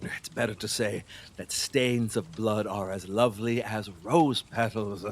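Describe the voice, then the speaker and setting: A man's voice: on the low side, fairly even intonation, measured pace. A male actor playing a decadent, superstitious middle-aged king in an audio drama, grandiose and volatile, moving between murmurs and cries. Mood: weary, musing. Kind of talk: theatrical audio drama acting.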